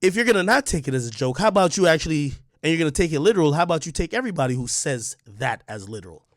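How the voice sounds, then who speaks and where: A young man rants hard and confrontationally, hammering the same points over and over. His voice sits a touch high, and the sound is dry and close with no room around it. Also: big pitch swings, very fast, no echo. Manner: confrontational, heated, repeats points. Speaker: online media personality. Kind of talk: direct-to-camera rant.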